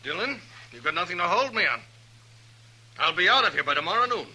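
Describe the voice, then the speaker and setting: An actor playing a haughty, indignant rich villain who threatens others in a 1950s Western radio drama. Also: male voice, high-pitched, big pitch swings, brisk, pushed and bright, breathy edge, full character voice, poor audio. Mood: indignant, threatening. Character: arrogant, indignant rich villain in a frontier town. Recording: old radio broadcast with dramatic music.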